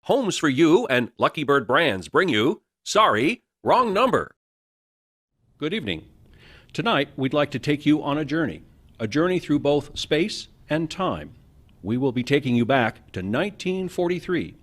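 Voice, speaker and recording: A man announcing a radio drama in a scripted, theatrical and deliberate style. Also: a little high, big pitch swings, a bit bright.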